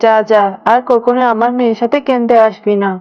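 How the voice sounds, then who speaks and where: A woman's voice: fairly high pitch, little pitch movement, brisk, dry recording, close to an everyday voice. A woman voicing a scolding, stingy tigress mother in a children's cartoon, grumbling as she talks. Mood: scolding, irritated. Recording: dull lo-fi audio, music behind. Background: background music.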